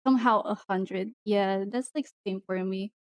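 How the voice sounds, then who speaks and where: A young Filipino woman on a stream, talking casually in slow, careful English. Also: medium pitch, dry recording, studio quality. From Philippines. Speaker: streamer guest. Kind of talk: casual collab stream chat.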